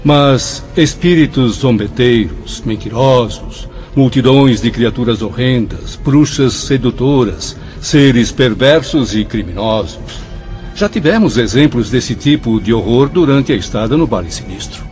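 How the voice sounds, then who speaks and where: A man's voice: medium pitch, expressive, a little slow, a little mellow, lo-fi sound, faint music behind, close to an everyday voice. A man playing a vain, questioning professor spirit. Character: proud skeptical male professor spirit, middle-aged. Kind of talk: radio drama acting.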